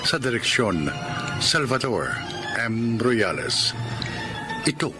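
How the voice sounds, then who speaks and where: A man's voice: medium pitch, big pitch swings, slow. A man with a Filipino accent announcing a radio drama in a scripted style. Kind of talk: scripted credits and introduction announcing. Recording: theme music behind announcer.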